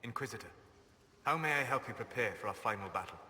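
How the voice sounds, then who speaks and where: A man playing a reserved, solemn elven mage in a fantasy game, speaking formally and with measured restraint. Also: mid-range voice, animated, big-room sound, music in the background, natural voice. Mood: guarded, firm. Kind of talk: dramatic fantasy game dialogue.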